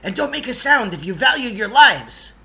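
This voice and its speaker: A man's voice: very high voice, big pitch swings, normal speed, bright, forceful tone, pitched above the performer's own voice, heavily acted. A man voicing a pompous, jittery eccentric guide in a storybook, with emphatic, florid delivery. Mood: urgent alarm. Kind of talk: storybook character voice.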